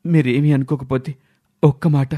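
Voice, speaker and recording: A man's voice: medium pitch, fairly even intonation, normal speed, dark tone, close to an everyday voice. A man playing a dutiful young son, earnest and resolute, in a dramatised moral story. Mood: pleading.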